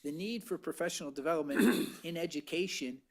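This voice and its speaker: A man's voice: fairly high pitch, a little fast. A man presenting budget figures at a committee meeting, explaining at length and checking in with "right?" after nearly every point. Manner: explanatory, tags lines with "right?". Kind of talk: meeting discussion.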